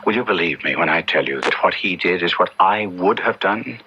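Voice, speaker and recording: A man's voice: low-pitched, animated, a little fast, bright, forceful tone, husky, heavily acted. An actor playing a repentant, wounded young nobleman in a 1970s radio drama, speaking in an earnest, literary way. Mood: remorseful, pleading. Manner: earnest, literary, self-reproachful. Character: repentant, wounded young Italian nobleman recounting his ordeal. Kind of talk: 1970s radio drama acting, first-person narration. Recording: dull 1970s radio recording with music.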